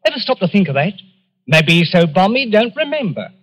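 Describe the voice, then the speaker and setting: An actor playing a chatty, plain-spoken working-class English local in a 1940s radio drama. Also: male voice, sits high, sing-song, a little fast, a crowd in the background.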